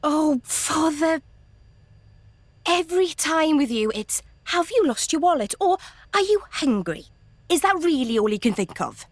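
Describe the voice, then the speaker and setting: A woman's voice: high-pitched, very animated, measured pace, no echo. A woman voicing a feisty young female game character, blunt and colloquial in the way she talks. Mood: exasperated. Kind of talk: video game character acting.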